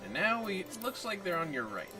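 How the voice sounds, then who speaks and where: Higher-voiced man chatting casually while gaming. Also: big pitch swings, a little fast. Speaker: livestreamer playing a video game. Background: game music.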